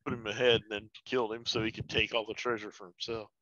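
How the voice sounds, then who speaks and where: A man's voice: fairly low pitch, flat delivery, quick pace, fairly bright tone, no echo, lo-fi sound. A man chatting casually as a player in a tabletop role-playing game. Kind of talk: casual chat.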